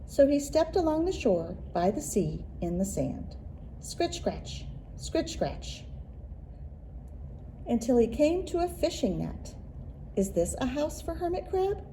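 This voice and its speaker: Lady doing storytime read aloud for little kids, really mellow voice, slow n expressive, rhythmic phrasing. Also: high-pitched, slight background noise, poor audio. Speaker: children's librarian and story reader. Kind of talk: picture-book read-aloud for young children.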